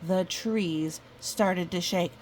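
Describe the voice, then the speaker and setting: A woman reading a children's picture book aloud, expressive and exclaiming, with chatty asides to young listeners. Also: on the low side, slow, noisy background.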